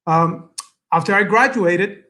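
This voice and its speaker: A middle-aged Salvadoran man with a fairly high voice gives an earnest speech at an event. He speaks English with a Spanish accent and stops often on fillers as he gathers his thoughts. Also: bright, forceful tone. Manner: earnest, halting, many fillers, switches to Spanish. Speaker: guest speaker.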